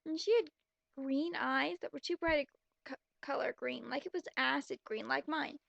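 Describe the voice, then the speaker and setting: A young woman with a high-pitched voice and plenty of fry reads a story aloud and picks it apart as she goes. Her manner is sarcastic, blunt and exasperated. The sound is close and dry. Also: fairly even intonation, moderate pace, fairly bright tone, dry recording, dull audio. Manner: exasperated, mocking, blunt asides. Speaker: commentator reading fan fiction aloud. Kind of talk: sarcastic reading with running commentary.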